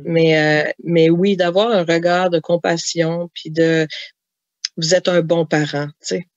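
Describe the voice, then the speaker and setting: Chatty Québécoise author, dry close sound. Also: female voice, lowish voice, steady tone, measured pace, dry recording, highs cut off. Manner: chatty, many fillers, laughs while telling anecdotes. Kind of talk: podcast interview.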